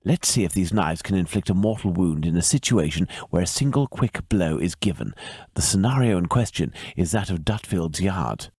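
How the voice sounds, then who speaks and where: An actor playing a keen, self-assured gentleman detective with an English accent in a video game, precise and formal in his manner. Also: deep voice, lively intonation, moderate pace, husky, no room sound, studio quality, heavily acted. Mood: analytical.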